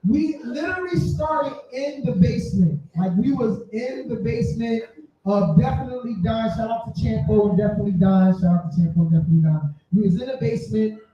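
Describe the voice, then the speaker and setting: A man's voice: high voice, fairly quick, dark tone. A man in his forties telling stories casually and boisterously to a live audience, often repeating phrases for effect. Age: 40s. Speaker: podcaster telling their story at a live event. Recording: distant mic, very echoey room, muffled.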